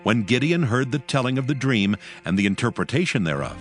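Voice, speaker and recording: A man narrating scripture from a script in a measured, formal storytelling manner. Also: medium pitch, lively intonation, soft background music.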